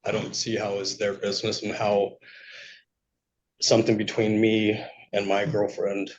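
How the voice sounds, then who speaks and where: A man giving unscripted testimony to a judge, speaking in a halting, polite way. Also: on the low side, completely flat delivery, slight background noise. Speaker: petitioner testifying in court. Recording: dull, lo-fi courtroom audio.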